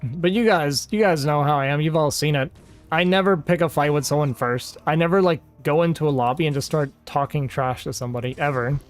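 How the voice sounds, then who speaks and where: A man's voice: fairly high pitch, a little fast, soft background music. A man streaming games who chats casually with his viewers, rambling and exasperated and saying "like" a lot. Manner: rambling and exasperated, heavy use of "like". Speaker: game streamer. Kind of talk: casual livestream chat with viewers.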